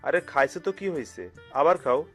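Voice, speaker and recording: Kindly middle-aged uncle played by a man, slightly high voice, hearty and insistent, audio drama with music behind. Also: lively intonation, normal speed. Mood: hospitable, insistent. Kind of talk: audio story acting. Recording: music under the voice.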